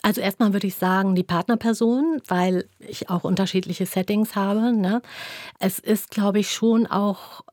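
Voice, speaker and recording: Woman with an even, down-to-earth delivery. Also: medium pitch, unhurried, dry recording, studio quality. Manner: down-to-earth, matter-of-fact. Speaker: interview guest, home-birth midwife. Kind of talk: reflective interview answers.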